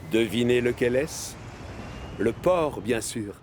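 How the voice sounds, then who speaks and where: A man's voice: mid-range voice, lively intonation, slow, noisy background. A man narrating a children's cartoon in a playful storytelling way.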